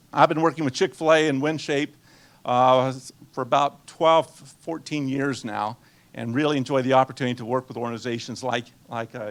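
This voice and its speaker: Guatemala-born guy in his 60s, spanish first language, running a finance seminar, bit higher voice, chatty, quizzes the room. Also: fairly bright tone. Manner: chatty, quizzes the audience and drops dry jokes. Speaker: nonprofit finance presenter. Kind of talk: conversational seminar talk to a live audience.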